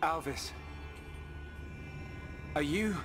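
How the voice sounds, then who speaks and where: Earnest young hero, reverberant, unhurried. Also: male voice, on the high side. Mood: earnest, resolute. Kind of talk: scripted video game cutscene acting. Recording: long hall reverb, music behind.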